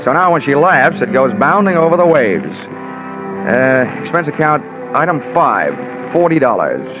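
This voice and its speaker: A male actor as a sardonic American private investigator narrating a vintage radio drama. He is dry, hard-boiled and colloquial, with a medium-pitched voice on a muffled recording with music behind. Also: very animated, normal speed, close to an everyday voice. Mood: dry, droll. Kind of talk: 1940s radio detective narration.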